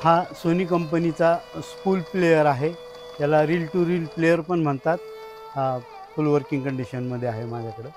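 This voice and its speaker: A man's voice: on the high side, steady tone, normal speed, a little mellow, soft background music. A man talks informally as a collector, explaining his items in short phrases. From India. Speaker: radio collector being interviewed.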